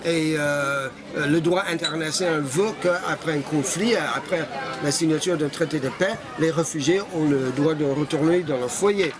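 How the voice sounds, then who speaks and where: A man's voice: on the high side, moderate pace. Hesitant older Canadian-born man outdoors. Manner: hesitant, many fillers and restarts. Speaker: interviewee, association founder. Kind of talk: street interview. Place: outdoors in a busy street. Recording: loud street crowd behind. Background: crowd noise.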